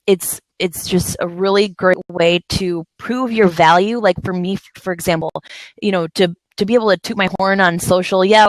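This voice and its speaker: A woman's voice: fairly low pitch, no room sound, poor audio. Rambling woman hosting a video chat. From San Francisco Bay Area. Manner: rambling, many fillers and restarts. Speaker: host of a live video chat. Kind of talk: casual chat.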